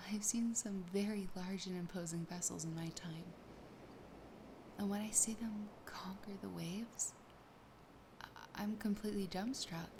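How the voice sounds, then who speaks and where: A woman voicing a sassy, possessive, lonely siren in a soft, breathy, often whispered ASMR roleplay, slow, teasing and intimate. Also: medium pitch, background noise. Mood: curious, shy. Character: possessive, sassy, lonely female siren toying with her catch.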